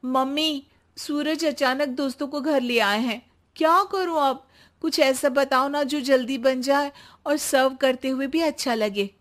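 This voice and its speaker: Sweet young bahu in a cartoon, a bit high, eager to please. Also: female voice, a little slow. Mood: anxious. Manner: pleading, eager. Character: young polite daughter-in-law Piyali. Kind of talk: cartoon voice acting.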